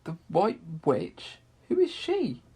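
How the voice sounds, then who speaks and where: A man's voice: sits high, wildly swooping pitch, slow pace, darkish tone, breathy edge, pitched above the performer's own voice, exaggerated voice. A man voicing a kind, earnest little girl from a storybook, with an emphatic, childlike manner. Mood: curious, shocked.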